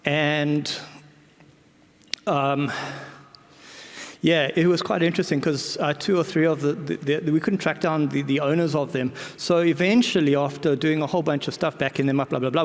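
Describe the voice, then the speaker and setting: A man speaking informally as a conference presenter, colloquial and self-deprecating, often repeating words and backtracking as he goes. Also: fairly high pitch. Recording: reverberant hall recording.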